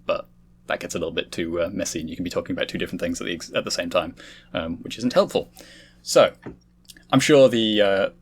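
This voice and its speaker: A man's voice: deep voice, a little hiss or hum. A man hosting a casual podcast discussion, talking in a wordy, explanatory way. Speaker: host leading a discussion.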